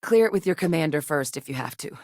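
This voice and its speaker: A woman playing a seasoned ex-military scientist in a video game, steady and earnest, with a measured, serious delivery. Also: deep voice, dry recording, studio quality. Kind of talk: video game character voice lines.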